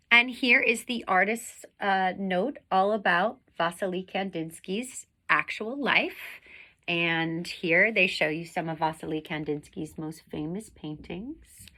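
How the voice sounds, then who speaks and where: A woman reading a picture book aloud like a teacher, with deliberate, dramatic phrasing that leans on the vivid words. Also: mid-range voice, sharp tone. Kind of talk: expressive storybook read-aloud.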